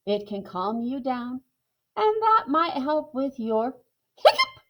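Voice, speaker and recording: A woman voicing a cheerful, gentle male bunny who loves yoga, speaking in a bright, encouraging way. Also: high-pitched, very animated, slow, exaggerated voice. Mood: friendly.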